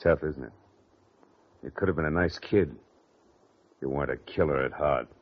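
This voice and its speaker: An actor playing a hard-boiled, taunting government investigator in a 1940s radio drama, clipped and tough. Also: male voice, extremely low pitch, moderate pace, slightly rough. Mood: coolly triumphant. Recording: dull vintage radio recording.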